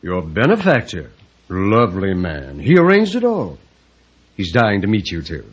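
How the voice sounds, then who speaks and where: An oily, smug fixer in an old radio play. He is low and husky, insinuating and mock-courteous, on a dull vintage recording. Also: huge pitch swings, moderate pace, heavily acted. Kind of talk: 1950s radio drama acting.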